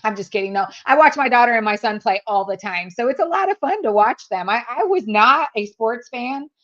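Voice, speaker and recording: A woman's voice: fairly high pitch, animated, a little fast, a bit bright, dull audio. A woman in her fifties, a native German speaker, chatting candidly as a sales trainer guest and laughing often. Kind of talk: casual interview chat.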